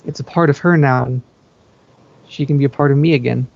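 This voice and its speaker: A man's voice: medium pitch, steady tone, brisk, creaky, a little mellow, dull audio. A man reading fan fiction aloud as a first-person narrator.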